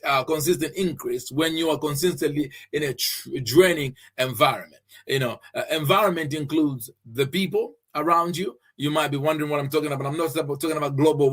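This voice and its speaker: A man's voice: fairly high pitch, a bit bright. A man from Zambia hosting a faith-based podcast, teaching earnestly, repeating his key phrases and often restarting with fillers. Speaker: podcast host and preacher.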